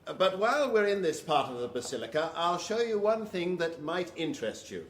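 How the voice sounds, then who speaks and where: A reluctant, irritable British novelist in a radio drama. The voice is high and very expressive, diffident and dry, in an echoing space. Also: male voice, a little fast, slight background noise. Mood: reluctant, explaining. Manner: diffident, clipped upper-class understatement.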